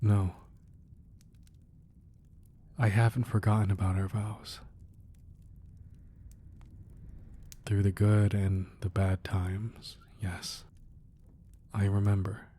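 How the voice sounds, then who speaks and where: Romantic ASMR drama: male actor as dangerous mob boss husband, dark warm low voice, husky, intimate, earnest, protective and tender. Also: level tone, a little hiss or hum. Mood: softening, resigned. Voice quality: soft, husky, breathy. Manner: earnest, measured, firm yet affectionate. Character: protective, ruthless crime boss husband, tender with his wife.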